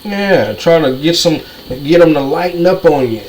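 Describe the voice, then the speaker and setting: A man's voice: on the high side, animated, normal speed, a little mellow, poor audio. Ranting man with faint noise behind. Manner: ranting, repetitive, profane. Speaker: commentator. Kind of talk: heated monologue.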